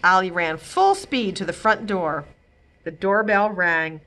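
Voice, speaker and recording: A woman reading a children's chapter book aloud, giving the characters expressive, lively voices. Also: lowish voice, unhurried. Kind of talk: storybook read-aloud. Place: library, indoors.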